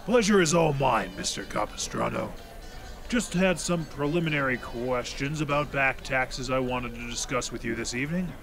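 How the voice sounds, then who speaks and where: Veteran cop, male actor, gravelly, medium-pitched, terse tough-guy radio-drama delivery. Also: normal speed, a bit bright, faint music behind. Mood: polite. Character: hardened veteran male police detective. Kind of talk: old-time radio acting.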